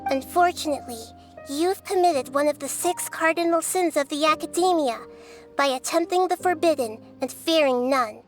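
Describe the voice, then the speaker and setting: A woman voicing a small childlike goddess in a video game, wise, articulate and gently but firmly moralizing. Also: high voice, normal speed, faint music behind, close to an everyday voice. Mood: stern, reproving.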